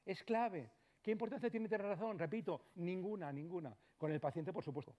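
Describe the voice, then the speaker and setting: A middle-aged man from Barcelona giving a conversational lecture to doctors, often checking in with the audience and letting his voice trail off quietly. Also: high-pitched. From Barcelona, Spain. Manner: conversational, frequent tag questions, trails off quietly. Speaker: psychologist giving a talk. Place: lecture hall.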